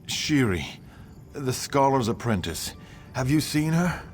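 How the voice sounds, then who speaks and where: A man acting a desperate, pleading character in a video game, speaking haltingly. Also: mid-range voice, sing-song, slight background noise. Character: desperate, pleading man seeking a missing woman. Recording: game music clearly behind voice.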